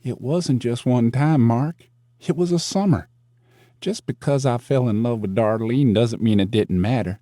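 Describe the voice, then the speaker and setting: A man's voice: medium pitch, unhurried, mellow, no echo, studio quality, natural voice. A man playing a troubled, lovesick character in an audio drama, earnest and emotional. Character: troubled, lovesick man confessing to an old friend.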